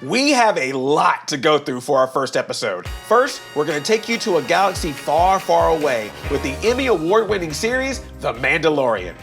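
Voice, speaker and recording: Man, talk show co-host, enthusiastic, gravelly, faint music behind. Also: fairly high pitch, normal speed, hardly any pauses. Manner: enthusiastic, excitable fan. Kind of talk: scripted talk show hosting.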